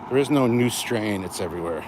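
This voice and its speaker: A man's voice: lowish voice, animated, fairly quick. A man, a bit out of breath as he walks outdoors, chatting casually and informally with livestream viewers. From Canada. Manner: informal, swearing, reads out and answers comments. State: out of breath from walking. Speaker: livestream host. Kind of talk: casual rambling chat with live viewers. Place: outdoors, walking. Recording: noisy outdoor recording with vehicle sounds.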